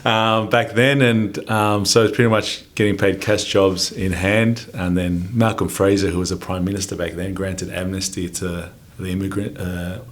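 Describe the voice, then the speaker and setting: A very deep-voiced Australian man in his forties speaks as a podcast guest. He talks in a laid-back, rambling way, scattering ums and calling people mate. The talk is loose, easygoing chat. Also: level tone, normal speed, hardly any pauses. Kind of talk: casual interview chat.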